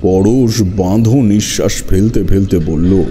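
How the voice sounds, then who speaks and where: Deep, very mellow male horror narrator. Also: a little slow, a little hiss or hum. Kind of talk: dramatic first-person horror story narration.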